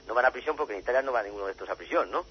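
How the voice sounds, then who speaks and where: A man, a journalist and author, answering in a radio interview, halting with many fillers and restarts before rushing ahead. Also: medium pitch, expressive. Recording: muffled lo-fi radio audio with faint hum.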